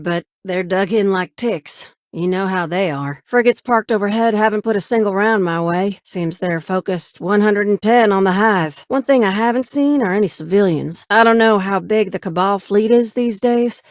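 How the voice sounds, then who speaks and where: Actress doing a folksy young pilot gal giving a game mission report, plain talk, mid voice, no room sound. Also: female voice, medium pitch, no echo, studio quality, close to an everyday voice. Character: folksy, plucky young female pilot scout. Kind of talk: scripted game radio report.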